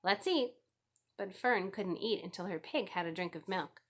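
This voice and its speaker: A woman's voice: on the low side, normal speed. A woman narrating a children's book aloud as a scripted story reading. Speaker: audiobook reader.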